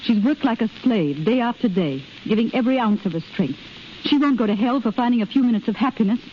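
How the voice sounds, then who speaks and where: An actress playing a compassionate, indignant young army nurse in a 1930s radio drama. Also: female voice, fairly high pitch, lively intonation, fast talker, close to an everyday voice. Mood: indignant, sorrowful. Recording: muffled, hissy 1930s radio broadcast.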